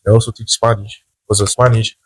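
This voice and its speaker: A man from the Brazil–Paraguay–Argentina border region, a guest on a podcast, talking in a relaxed, repetitive way. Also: fairly low pitch, quick pace, slightly rough. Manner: relaxed, repeats phrases, agreeable. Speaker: guest, content creator and language teacher. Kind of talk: casual interview.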